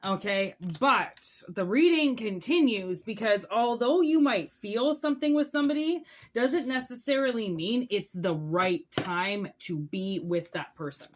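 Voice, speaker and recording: Higher-voiced woman giving a chatty tarot reading. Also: measured pace, a little hiss or hum. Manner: rambling, conversational, with asides and rhetorical check-ins. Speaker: tarot reader hosting zodiac readings.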